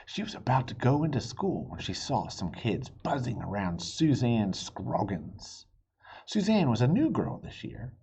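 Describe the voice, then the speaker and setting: A man reading a children's storybook aloud expressively, pausing to chat with young listeners and ask them questions. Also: medium pitch, darkish tone, breathy edge, dull audio. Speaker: children's read-aloud host.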